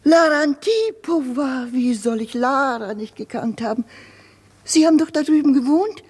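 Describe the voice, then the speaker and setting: An actress playing an anxious, outspoken working-class mother with a husky voice in a 1950s German radio drama. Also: high voice, expressive, moderate pace, darkish tone. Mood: chatty, matter-of-fact.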